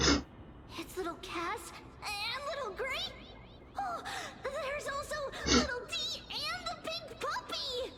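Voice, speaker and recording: Tiny, very high-pitched girlish creature. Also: full character voice. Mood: amazed. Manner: childlike, chirpy, self-assured. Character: small pink fairy-like creature, girlish, eager, boastful helper. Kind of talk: video game character voice acting.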